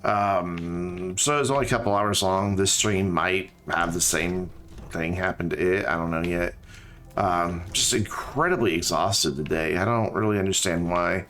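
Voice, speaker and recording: A man's voice: on the low side, a bit bright. Tired man rambling over his game. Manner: rambling, thinking aloud, many fillers and restarts. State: tired, slightly scratchy throat. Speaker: streamer. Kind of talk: casual gameplay chat.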